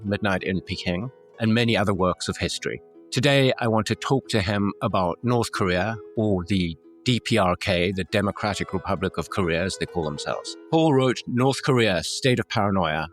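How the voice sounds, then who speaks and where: Low-voiced man hosting a podcast. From South Africa. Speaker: podcast host. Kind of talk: podcast introduction and interviewing.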